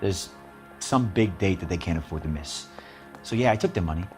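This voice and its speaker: A man's voice: deep voice, lively intonation, brisk, darkish tone, faint music behind. A man playing a slangy, defensive working-class repairman under police questioning in a video game, evasive and indignant. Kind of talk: dramatic video game interrogation acting.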